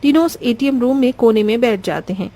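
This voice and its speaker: High-pitched woman storyteller. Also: brisk, mellow. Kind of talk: scripted children's cartoon narration. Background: faint music.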